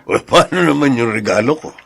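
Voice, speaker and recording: An actor playing a devoted, middle-aged Filipino father in a radio drama, speaking earnestly and softly with a gravelly, husky voice. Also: medium pitch, expressive, brisk, heavily acted. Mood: playful, fond. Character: tender, devoted adoptive father and gentle husband. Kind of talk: Tagalog radio drama acting.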